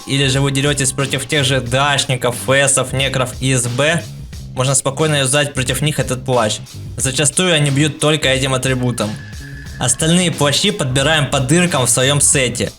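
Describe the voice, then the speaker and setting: A man narrates a gaming guide in a medium-pitched voice. He explains things informally with plenty of slang and has trouble pronouncing the L sound. Soft music plays behind him. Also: steady tone, moderate pace. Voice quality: speech impediment on the L sound. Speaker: video game guide creator. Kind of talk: casual scripted gaming tutorial narration. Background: background music.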